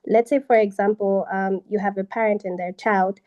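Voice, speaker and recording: A young woman moderating a conversational panel, speaking in a measured way with hesitant pauses. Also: mid-range voice, steady tone, muffled recording. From Zambia.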